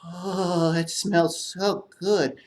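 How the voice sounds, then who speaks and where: A gentle snail puppet from a children's show, voiced by a man. He talks very slowly and quietly, stretching out his polite words. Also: high voice, level tone. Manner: drawn-out, quiet.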